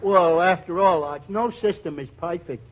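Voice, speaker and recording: An actor playing a dim-witted, amiable barfly, hesitant and earnest, in a 1940s radio comedy. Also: male voice, high voice, moderate pace, a little hiss or hum, lightly acted. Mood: earnestly confused. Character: dim-witted, amiable male tavern regular with muddled logic. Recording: dull vintage radio recording.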